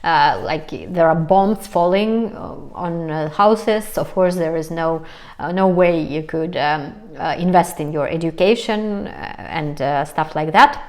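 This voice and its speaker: Hesitant woman vlogger, lowish voice. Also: a little slow. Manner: hesitant, many fillers, light laughs. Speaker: vlogger, host of own channel. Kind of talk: casual talk to camera.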